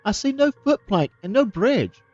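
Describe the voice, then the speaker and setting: A man's voice: sits high, big pitch swings, moderate pace, a little mellow, dry recording, dull audio, soft background music, voice pushed up. A worried young boy from a storybook, voiced by a man talks in an earnest, raised tone. His voice is lifted above a plain man's speaking voice. The recording is close and dry.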